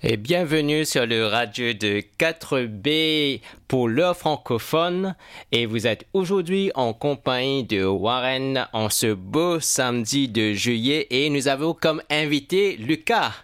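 A man hosting a community radio interview in a studio, measured with small hesitations. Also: medium pitch, expressive, fairly bright tone, smooth, no echo. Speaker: radio host.

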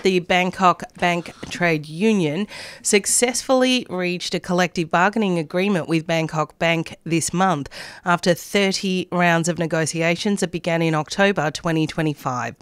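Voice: medium pitch, a bit bright, clean tone, dry recording. A radio news presenter, reading scripted news stories.

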